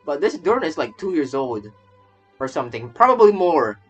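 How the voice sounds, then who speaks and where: A man's voice: on the high side, big pitch swings, moderate pace, dull audio. A man casually commenting on a game he is streaming, stammering and repeating his phrases as he rambles. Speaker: streamer reacting to a game story. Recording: game music behind the voice. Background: game music.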